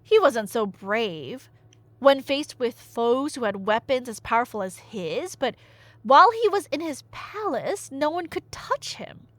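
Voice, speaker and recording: Animated, high-pitched woman storyteller. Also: dry recording. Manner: chatty, theatrical, emphatic, with dry humor and dramatic pauses. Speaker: audio storyteller playing a nerdy friend. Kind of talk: casual dramatic retelling of myth, as ASMR roleplay.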